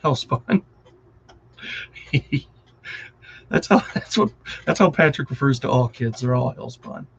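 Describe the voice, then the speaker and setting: A man's voice: mid-range voice, expressive, slow, vocal fry, pauses often, highs cut off, a little hiss or hum. A middle-aged man with a Wisconsin accent, chatting casually and rambling on a live stream, often distracted and chuckling.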